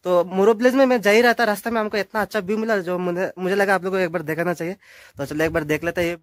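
A young man in his twenties vlogging on a phone on a selfie stick, chatting excitedly to viewers on windy hilltops and a swaying bridge. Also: high voice, very fast, dry recording. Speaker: travel vlogger. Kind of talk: casual travel vlog narration. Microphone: phone camera on a selfie stick. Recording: clear wind noise outdoors.

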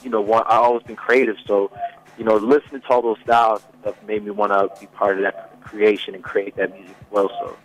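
A man's voice: on the low side, flat delivery, fairly quick, poor audio. Laid-back Swedish-born young man. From Sweden. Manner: laid-back, many fillers like "you know". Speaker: singer guest. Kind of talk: casual interview chat.

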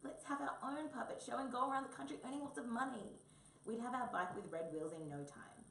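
A woman's voice: a little high, fairly quick, voice pushed up, close to an everyday voice. A woman voicing a boisterous, muddle-headed male wombat in a children's storybook, with a blunt, eager, childlike manner. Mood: gleeful. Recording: roomy, distant mic, faint noise.

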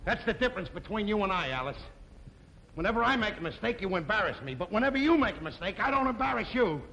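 An actor playing a loud, blustering husband in a 1950s sitcom. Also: male voice, high voice, very animated, quick pace, muffled recording, full character voice. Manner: loud and bombastic. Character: blustering, hot-headed working-class husband. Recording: old TV soundtrack with clear hiss.

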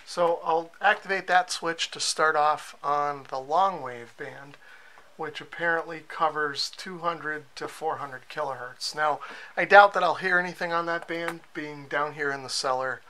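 Bright-voiced man in a casual walkthrough, noisy room. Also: a little high, unhurried. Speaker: radio reviewer. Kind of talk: casual hands-on product walkthrough. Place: cellar with concrete walls.

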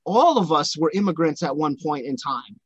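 A male podcast host speaking in a conversational, halting way and often restarting his phrases. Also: on the high side, animated, slightly rough, no room sound. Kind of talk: conversational interview. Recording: dry, dull lo-fi sound.

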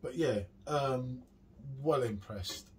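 Middle aged guy doing a casual review chat, normal pitch, bit of noise behind him. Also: slow pace. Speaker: beer reviewer. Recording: clearly audible background noise.

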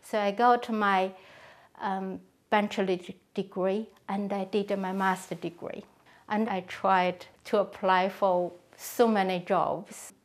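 An older woman speaks slowly, in a mid-pitched voice. She is frank and measured, with a rueful edge. It is an indoor documentary interview. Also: level tone.

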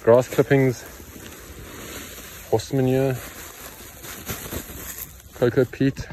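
A man's voice: medium pitch, level tone, very slow, mellow, slight background noise, poor audio. A man filming himself on a handheld phone outdoors, talking bluntly and matter-of-factly while he works. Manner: blunt, opinionated, matter-of-fact. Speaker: farmer vlogger. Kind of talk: casual vlog narration while working. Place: outdoors in a yard by a pond. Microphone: phone camera held in hand, point of view.

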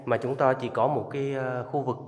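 A man's voice: medium pitch, little pitch movement, a little fast, soft background music. A man giving an enthusiastic guided tour to viewers, inside a limestone cave. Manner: addresses viewers often, enthusiastic. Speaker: travel vlogger guiding viewers. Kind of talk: casual guided tour narration. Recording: echoey cave with long reverb.

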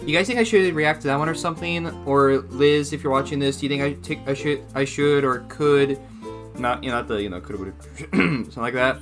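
A man's voice: mid-range voice, fairly quick, fairly bright tone, soft background music. Rambling young man chatting casually. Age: young adult. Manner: rambling, repeats and rephrases himself. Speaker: reaction video host. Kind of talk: casual reaction commentary. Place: small room indoors at home.

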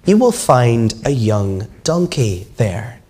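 A man voicing a firm, assured male teacher with emphatic, measured delivery in a children's storybook reading. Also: medium pitch, sing-song, a little mellow. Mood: calm instruction.

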